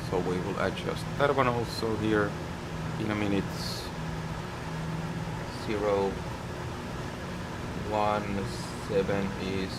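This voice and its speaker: Slow, low-voiced Finnish man over loud drone. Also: fairly even intonation, heavy background noise. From Finland. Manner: unhurried, halting, short phrases. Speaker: live streamer flying a flight simulator. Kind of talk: live stream commentary, thinking aloud.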